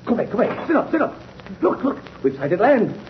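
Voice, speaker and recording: Radio drama: overwrought castaway, very high, swooping delivery; muffled vintage recording. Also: male voice, big pitch swings, fairly quick, slight background noise. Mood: urgent excitement. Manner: dramatic, exclamatory. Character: weary male castaway turned wildly excited. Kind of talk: 1930s radio acting.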